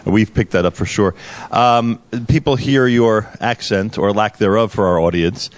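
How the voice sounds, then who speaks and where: A male host interviews his guests in an easygoing, chatty manner. His voice sits on the low side. The recording is dry and close, with no room sound. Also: normal speed, no echo. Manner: conversational, easygoing questioning.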